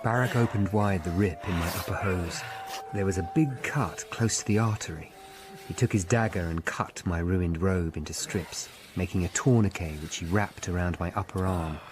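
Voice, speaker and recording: A man's voice: low voice, moderate pace, background noise, natural voice. A man acting in a radio drama as a grave Tudor lawyer-investigator who recounts his own tale. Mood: grave narrating. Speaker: narrator and lead actor in radio drama.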